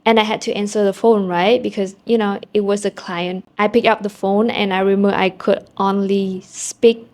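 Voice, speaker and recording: A young Vietnamese woman speaks English with a clear Vietnamese accent, chatting straight to her camera. Her tone is candid and a little self-deprecating, with casual fillers scattered through her stories. Her pitch sits in an ordinary middle range. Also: fairly even intonation, normal speed, hardly any pauses, a little mellow. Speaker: vlogger. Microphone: small handheld camera mic.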